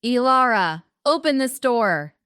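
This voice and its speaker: Woman doing a mad threatening dad, growly, yelling at the door. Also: mid-range voice, measured pace, no room sound, studio quality, natural voice. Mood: roaring. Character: angry threatening middle-aged father.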